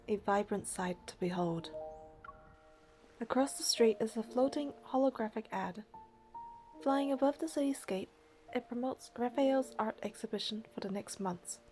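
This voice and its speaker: A woman's voice: a little high, warm tone. A woman reading game narration and dialogue aloud, with casual commentary of her own.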